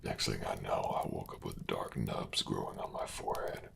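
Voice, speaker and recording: An actor playing a weary, dying miner in a fantasy audio drama, pleading in a weak, halting, breathy half-whisper. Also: male voice, rumbling low, fairly even intonation, heavily acted. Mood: weary resignation. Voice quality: gravelly, breathy rasp.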